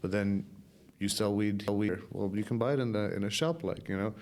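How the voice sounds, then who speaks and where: A young man from Ireland with a softened, somewhat Americanized Irish accent, telling his own story candidly and casually as a podcast guest. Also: fairly low pitch, a little fast. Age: young adult.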